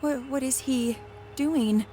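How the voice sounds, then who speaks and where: A woman's voice: high-pitched, slow, mellow, faint music behind. An actress playing a frightened, skeptical young woman in an audio drama, stammering in disbelief. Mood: shaken disbelief.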